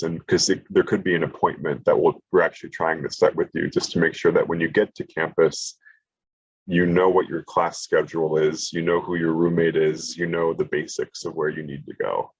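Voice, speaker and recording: A man's voice: a bass voice, flat delivery, normal speed, slightly rough, no room sound, highs cut off. A man giving a casual college prep workshop over a video call, with lots of fillers and restarts. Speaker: college admissions presenter. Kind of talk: online workshop presentation.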